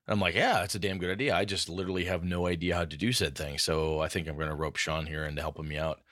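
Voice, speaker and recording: A man co-hosting a gaming podcast in casual chat, telling animated stories and acting out what others said. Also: deep voice, quick pace, dry recording, clean studio sound. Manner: animated storyteller acting out quotes. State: a bit groggy. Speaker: podcast co-host.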